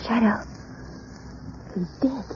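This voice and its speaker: A woman's voice: on the high side, takes it slowly. A woman acting an anxious, plucky heroine in a 1930s radio drama, with an urgent, dramatic delivery. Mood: shocked. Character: anxious, plucky young woman, the hero's companion. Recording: muffled, hissy 1930s radio recording.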